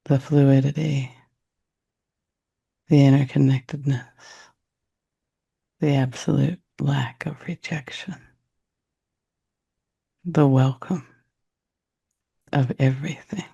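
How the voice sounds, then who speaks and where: Slow, gravelly man guiding meditation. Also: mid-range voice, level tone, lo-fi sound. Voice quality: gravelly, husky. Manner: soft, unhurried, reflective, with long pauses. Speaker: meditation guide. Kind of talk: guided meditation.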